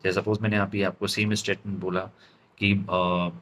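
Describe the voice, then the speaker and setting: An Indian man giving an instructional lesson as a public speaking trainer, deliberate and stressing his key words. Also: sits low, level tone, fairly bright tone, slight background noise. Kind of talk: instructional lesson mixing Hindi and English.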